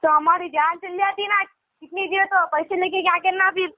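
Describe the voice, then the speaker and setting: A man's voice: very high voice, steady tone, moderate pace, no room sound. A teenage boy of fifteen with a Hyderabadi accent, speaking as a caller in a casual, pleading and protesting way. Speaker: caller asking for money. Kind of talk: casual phone conversation. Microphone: telephone. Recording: tinny narrowband phone call recording.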